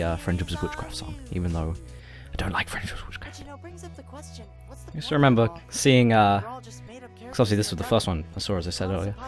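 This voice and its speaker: A man chatting casually as a guest co-commentator on an animated series. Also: lowish voice. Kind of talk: casual commentary track chat.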